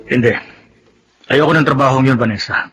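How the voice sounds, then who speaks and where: Earnest, wounded Filipino husband. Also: male voice, medium pitch, moderate pace, fairly bright tone, breathy edge, no room sound, low-quality recording. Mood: hurt, firm. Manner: pleading, earnest. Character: earnest, hurt, principled low-earning young husband. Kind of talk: melodramatic Filipino serial drama acting.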